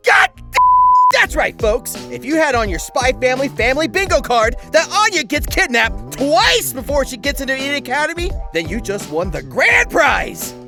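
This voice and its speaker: A woman's voice: high voice, very animated, moderate pace, vocal fry, over music. A woman narrating a comic recap as host, theatrical, sarcastic and foul-mouthed.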